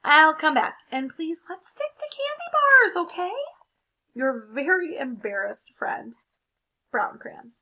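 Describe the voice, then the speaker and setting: Woman as brown crayon, storybook, accusing, embarrassed, sarcastic, high forceful voice. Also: very animated, takes it slowly, heavily acted, rough recording. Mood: resentful, embarrassed.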